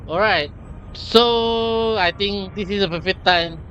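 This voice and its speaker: A male gaming streamer with a bright, fairly high voice. He drops short, casual comments on the gameplay over faint noise. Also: slow pace, highs cut off.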